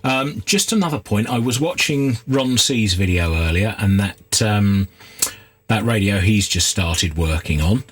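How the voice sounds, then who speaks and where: A man giving an unscripted, halting workshop commentary, thinking aloud as he works at a repair bench. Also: lowish voice, expressive, slightly rough. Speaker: hobbyist radio repairer and video host. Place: indoor repair workbench. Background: loud noise, radio and test-tone sounds.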